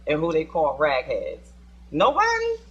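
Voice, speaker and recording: A man's voice: a little high, wildly swooping pitch, unhurried, fairly bright tone, slightly rough, dull audio. A man hosting a studio panel discussion in front of an audience, asking probing questions in an informal way and repeating guests' answers back to them. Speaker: talk show host.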